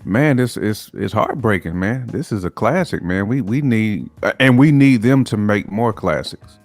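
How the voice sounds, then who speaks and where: Deep-voiced man, emphatic casual podcast chat. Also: expressive, moderate pace, faint music behind. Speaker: podcast panelist.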